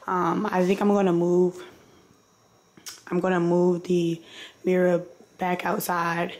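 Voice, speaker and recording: Hoarse young woman vlogger, low and flat. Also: takes it slowly. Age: 20s. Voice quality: hoarse, breaking into whisper. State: hoarse, sick, voice partly gone. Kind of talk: casual vlog chat to viewers. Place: indoors, mostly a bedroom at home. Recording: clearly noisy camera audio.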